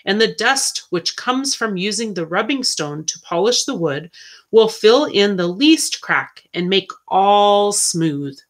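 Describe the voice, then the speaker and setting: A woman voicing a patient, kindly monk carpenter in a storybook, with measured, archaic speech. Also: medium pitch, expressive. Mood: explaining.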